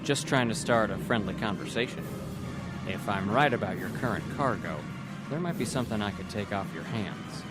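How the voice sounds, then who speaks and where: A lowish male actor's voice as a plain-spoken, shrewd navy officer in a sci-fi comic dub. He is conversational and wry, talking over loud music. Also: animated, moderate pace, lightly acted. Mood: casual, bartering. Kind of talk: scripted comic dub acting.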